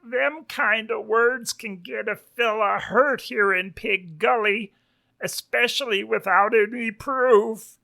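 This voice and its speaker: Western storybook pig sheriff, played by a man: authoritative, condescending, American drawl, unhurried, pitched up. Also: vocal fry, pushed and bright, heavily acted. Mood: cool, threatening. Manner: slow, folksy lawman drawl, condescending.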